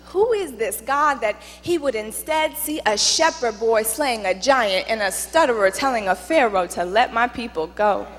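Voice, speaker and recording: High-voiced woman reciting poetry in reverberant hall. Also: a bit bright. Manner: dramatic, deliberate phrasing with emphatic pauses. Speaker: spoken word poet. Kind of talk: spoken word poetry recitation in church. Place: church, live recitation. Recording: reverberant hall, music underneath. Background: music.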